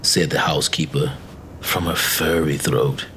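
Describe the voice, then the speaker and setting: Male narrator, deep pitch, unhurried deliberate phrasing, eerie literary audiobook, faint background noise. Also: little pitch movement, sharp tone. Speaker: story reader.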